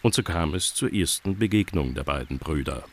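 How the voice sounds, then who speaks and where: A man's voice: a bass voice, animated, fairly quick. A man narrating a scripted children's story as the narrator of a radio play.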